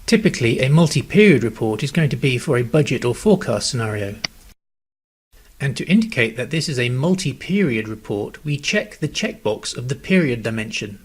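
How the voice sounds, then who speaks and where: A man narrating a scripted software tutorial in a measured, step-by-step instructional manner. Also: mid-range voice, sing-song, slightly rough.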